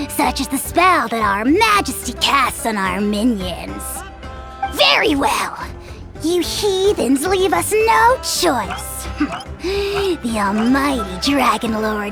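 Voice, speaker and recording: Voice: sits high, heavily acted. An actor voicing an arrogant little dragon lord in a video game, pompous and grandiloquent.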